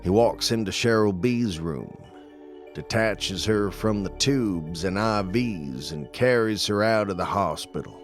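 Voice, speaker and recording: An actor playing a dead man who calmly narrates an audio drama with slow, measured, deliberate delivery. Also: lowish voice, soft background music. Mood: measured, somber. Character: dead man narrating, calm and all-seeing.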